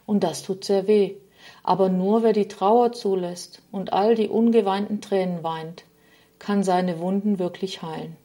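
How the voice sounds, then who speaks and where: A woman's voice: mid-range voice, steady tone, unhurried, mellow, natural voice. A woman voicing Sadness, a dejected, unloved female figure, in a spoken storybook, lamenting in a slightly raised voice.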